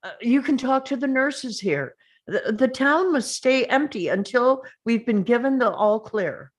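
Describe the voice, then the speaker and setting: A woman's voice: a little high, expressive, measured pace, voice pushed up. A woman playing a firm, official soldier in a screenplay table read. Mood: firm, insistent. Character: firm official male soldier enforcing an evacuation.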